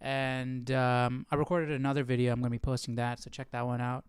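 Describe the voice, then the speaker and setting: Man, casual livestream host, rambling delivery, mid-pitched, smooth resonant voice, very dry sound. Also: little pitch movement, a little fast, no echo. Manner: rambling, thinking aloud. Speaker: livestream host of a Bible study series.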